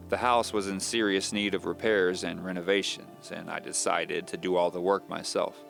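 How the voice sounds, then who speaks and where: A man playing a secretive, bitter divorced novelist confiding deliberately in a first-person audio drama monologue. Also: deep voice, vocal fry, fairly bright tone. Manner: deliberate, confiding, storyteller's delivery. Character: secretive, bitter divorced male suspense novelist confessing on tape. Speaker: narrator playing a fictional novelist.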